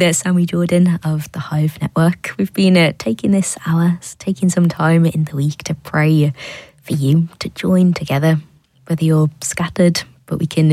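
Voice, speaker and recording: A woman hosting a prayer hour on the radio, reading out listeners' requests softly and hesitantly, with many fillers. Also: low voice, no room sound.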